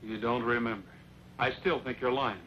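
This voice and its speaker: An actor playing a steady, determined cowboy hero in an old western, plain-spoken and firm. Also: male voice, medium pitch, normal speed, close to an everyday voice. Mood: accusing. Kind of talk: old western film acting. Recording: muffled old film soundtrack, clear noise.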